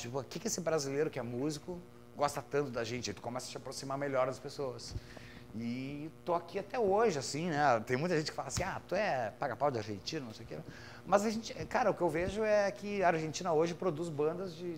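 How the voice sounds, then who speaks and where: Gaúcho man, 30s, musician guest, mid-pitched, rambling casual delivery, faint hum behind. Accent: gaúcho Brazilian Portuguese. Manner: relaxed, rambling, with frequent fillers like "cara" and "saca". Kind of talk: casual conversational storytelling.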